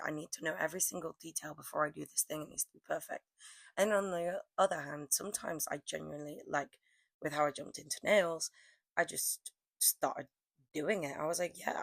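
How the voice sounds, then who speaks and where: Hoarse young woman with a cold. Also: deep voice, dry recording. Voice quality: hoarse, croaky. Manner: rambling, fillers like "like". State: losing her voice from a cold. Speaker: press-on nail artist. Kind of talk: casual chatty vlog narration.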